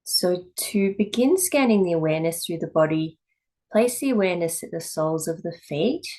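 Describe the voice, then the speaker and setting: A woman teaching yoga, giving calm, measured guided meditation instruction in short phrases with pauses between them. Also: lowish voice.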